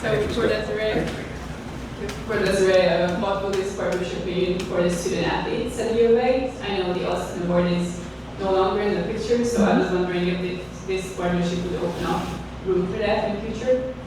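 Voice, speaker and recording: A woman's voice: medium pitch, steady tone, normal speed. Woman reporter asking a press question, off-mic, noticeable background noise. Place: press conference room. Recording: distant, very echoey, noisy room.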